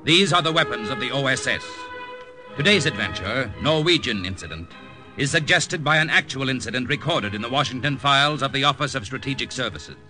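An actor playing a grave, authoritative officer type in a 1950s radio drama. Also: male voice, mid-range voice, lively intonation, fairly quick, close to an everyday voice. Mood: grave, dramatic. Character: grave, authoritative army captain and dramatic announcer. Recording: bright old radio recording, faint music.

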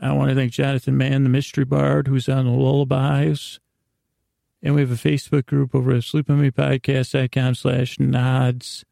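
Gravelly, mellow man rambling at bedtime. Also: medium pitch, completely flat delivery, dry recording, clean studio sound. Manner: halting and meandering, with stammered restarts and trailing tangents; self-deprecating, gently reassuring. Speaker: podcast host. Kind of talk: rambling, improvised bedtime podcast monologue.

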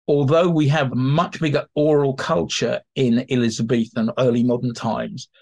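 A man speaking as an expert guest, explaining informally in an animated way and slipping into acted-out examples. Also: medium pitch. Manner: animated, acts out imagined quotes. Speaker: expert guest, theatre historian. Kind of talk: informal explanatory interview talk.

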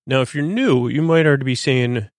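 A man with a creaky voice hosting a bedtime podcast, soothing and meandering, stammering as he drifts off into tangents. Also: mid-range voice, fairly quick, no echo. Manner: soothing, lulling delivery. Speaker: podcast host. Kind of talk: rambling bedtime monologue.

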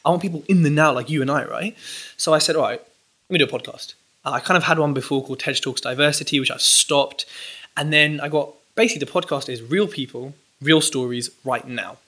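Bright-voiced young man, animated chat. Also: on the high side, a little fast. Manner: animated, rambling with restarts. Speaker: podcast guest, property entrepreneur. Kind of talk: casual interview chat.

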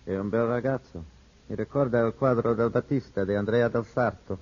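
Man acting a husky, sly gentleman. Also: medium pitch, a little fast, close to an everyday voice. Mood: genial. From Italy. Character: suave, insinuating Italian gentleman, polite and sly. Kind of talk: 1950s radio drama acting. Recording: dull 1950s radio broadcast.

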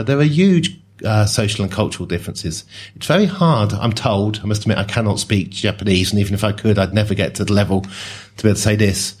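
Man, lowish voice, conversational talk with wry asides. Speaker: speaker on social class. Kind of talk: discussion talk.

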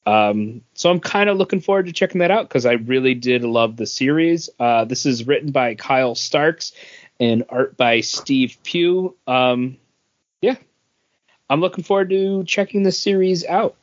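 A man speaking as a podcast co-host in a relaxed chat format. His intonation is animated and varied, at an average pitch. The sound is slightly dull. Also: a little slow, dry recording. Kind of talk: casual chat about comic books.

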